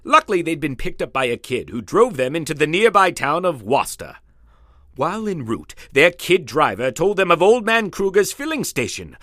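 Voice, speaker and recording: Animated, theatrical male radio serial narrator. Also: on the high side, dry recording, clean studio sound. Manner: theatrical announcer delivery. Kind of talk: scripted old-time radio serial narration.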